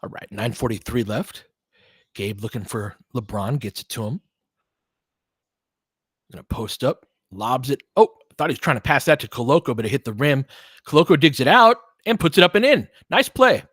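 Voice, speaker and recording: A man's voice: medium pitch, sing-song, moderate pace, a bit bright, breathy edge, no room sound, studio quality. A man doing casual live basketball play-by-play in clipped phrases, with conversational analytical asides. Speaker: play-by-play commentator and host.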